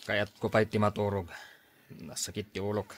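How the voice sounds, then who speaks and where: An actor playing a surly, jealous, hard-drinking husband in a Filipino radio drama, with a Filipino accent. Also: male voice, lowish voice, flat delivery, moderate pace, no room sound, natural voice. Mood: groggy, grumpy.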